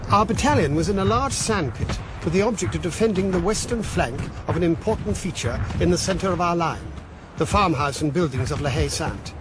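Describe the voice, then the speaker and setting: A man plays a proud rifle captain narrating his own battle in a radio drama. The voice is fairly high, with clear battle noise behind it. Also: animated, fairly quick, close to an everyday voice. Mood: proud, recollecting. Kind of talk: scripted first-person narration in radio drama.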